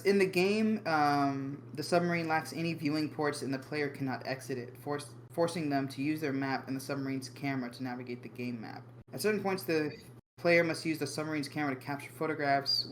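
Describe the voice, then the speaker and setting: A man co-hosting a casual group chat, reading a summary aloud haltingly and swearing offhand. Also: on the high side, fairly even intonation, slight background noise. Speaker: podcast co-host reading the premise.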